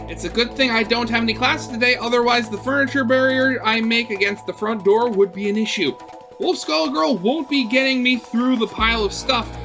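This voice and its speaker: A man with a fairly high, bright voice reads a visual novel aloud. His delivery is dramatic and expressive, slipping into conversational asides, with music playing faintly behind him. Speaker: video game let's player reading a visual novel aloud.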